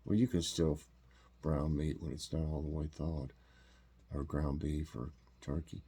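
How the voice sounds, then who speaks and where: Man, very low voice, stuffed-up nasal sound, rambling homey chat, lots of ums. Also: steady tone, unhurried, dark tone, husky. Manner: rambling, homey, full of fillers and restarts. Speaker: vlog host. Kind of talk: casual morning chat and taste test.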